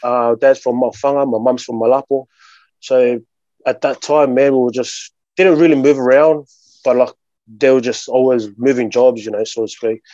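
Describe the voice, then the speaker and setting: A man's voice: medium pitch, fairly even intonation, normal speed, mellow, dry recording, highs cut off. A man from Sydney with an Australian accent, talking casually as a guest and filling his speech with "you know" and "bro". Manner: laid-back, lots of "you know" and "bro". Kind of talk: casual interview talk.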